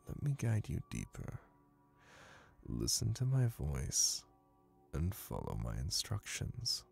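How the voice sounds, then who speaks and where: A man plays a young hypnotist classmate with a low voice full of heavy vocal fry. He is confident and dominant, guiding in a soft, soothing ASMR manner. His delivery is slow and deliberate. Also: heavily acted. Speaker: voice actor.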